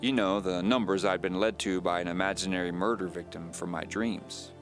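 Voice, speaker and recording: A man playing an uneasy man recounting his own mystery in measured, confiding, scripted audio drama narration. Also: low-pitched, steady tone, over music. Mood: wary, resolute.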